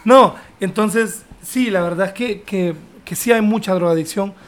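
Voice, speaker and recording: A man's voice: sits high, a little slow. Chatty young Honduran man, light voice. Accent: Honduran Spanish. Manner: joking, chatty, uses vos. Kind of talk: casual chat.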